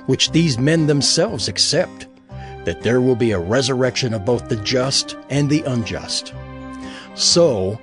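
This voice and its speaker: An actor playing an earnest apostle on trial, giving an emphatic, oratorical defense in an audio Bible drama. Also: male voice, mid-range voice.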